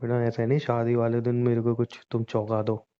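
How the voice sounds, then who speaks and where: Muffled low-fidelity audio, young adult man, casual boyfriend talk, teasing, pushy. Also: monotone, fairly quick, warm tone, clean tone. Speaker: boyfriend in a couple's conversation. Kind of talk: casual intimate couple chat in Hindi. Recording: dull, lo-fi call-style recording.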